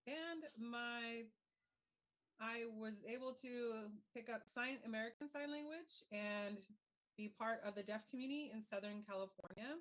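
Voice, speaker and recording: A woman moderating and speaking on a discussion panel, talking in a measured, careful way. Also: on the high side, fairly bright tone, poor audio.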